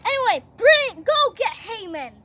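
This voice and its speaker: Young woman acting slow, imperious, outraged king. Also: very high-pitched, expressive, fairly bright tone, exaggerated voice. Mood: commanding. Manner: commanding, drawn-out, emphatic. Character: imperious, outraged male king in a Bible tale. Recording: clearly noisy recording.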